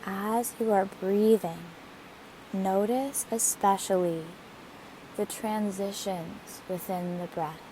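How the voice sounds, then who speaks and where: A woman leading a guided meditation in a gentle, soothing manner with deliberate phrasing. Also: mid-range voice, poor audio. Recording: steady hiss behind the voice. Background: hiss, rushing waterfall water.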